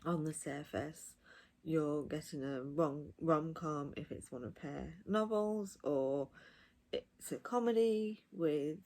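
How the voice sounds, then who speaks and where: A woman's voice: sits low, slow pace, a little mellow. A woman giving a casual, enthusiastic review, talking directly to viewers. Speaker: audiobook reviewer.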